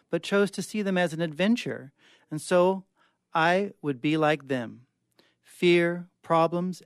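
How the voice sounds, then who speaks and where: A man's voice: on the high side, smooth, no room sound. A man reading short stories dramatically as a guest in a radio studio. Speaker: guest reader.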